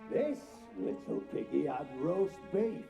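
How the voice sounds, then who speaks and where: High-pitched, gleefully sadistic torturer. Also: male voice, big pitch swings, slow, creaky, lo-fi sound, over music, exaggerated voice. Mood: mock-tender, gleeful. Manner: sing-song taunting. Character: sadistic, gleeful male torturer, taunting and crude. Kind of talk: video game acting.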